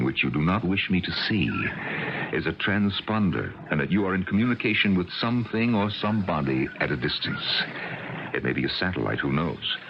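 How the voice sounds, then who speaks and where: An American actor playing a stern, suspicious middle-aged scientist father in a radio drama, speaking in a measured, formal way. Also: low-pitched, creaky, heavily acted. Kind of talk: 1980 radio drama acting. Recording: dull, faintly noisy old radio recording.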